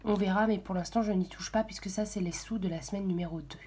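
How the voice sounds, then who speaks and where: A hoarse woman speaking softly and half-whispering at home in the early morning, hesitant and full of fillers as she walks through her weekly budget. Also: medium pitch, fairly even intonation, fast talker, slight background noise. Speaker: budget vlogger. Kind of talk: casual budget walkthrough.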